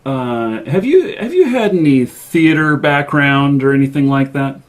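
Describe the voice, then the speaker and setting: A man's voice: fairly high pitch, expressive, a little slow. A man hosting an interview show, asking questions hesitantly. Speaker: show host.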